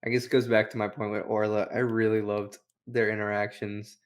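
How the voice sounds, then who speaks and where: A man's voice: fairly low pitch, flat delivery, a little fast. A man co-hosting a review show, chatting casually in a rambling, slangy way peppered with "like". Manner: rambling, heavy use of "like". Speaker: book review show co-host. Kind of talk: casual, slangy chat, retelling and commenting.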